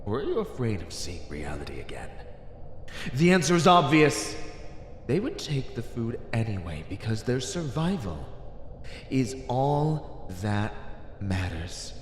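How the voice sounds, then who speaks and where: An arrogant, cynical fantasy villain, played by a man, delivers a condescending roleplay monologue. He takes his time, stretching words out with mocking relish. His pitch swings widely from line to line, and long reverb hangs around the voice. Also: sing-song, a little hiss or hum. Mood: taunting, condescending. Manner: mocking, condescending, theatrical, drawn-out emphasis.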